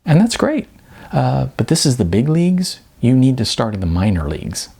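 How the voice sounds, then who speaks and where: Guy doing a young receptionist lady, dismissive gatekeeper type, higher lilting voice, novel reading. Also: sing-song, normal speed, creaky, warm tone, breathy edge, full character voice. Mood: polite, dismissive. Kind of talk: audiobook character dialogue.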